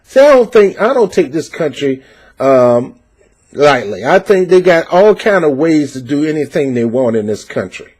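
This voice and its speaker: A man's voice: fairly high pitch, big pitch swings, normal speed, darkish tone. A man giving opinionated political commentary, reading out a news article and reacting to it with passionate, preachy emphasis and frequent fillers. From United States.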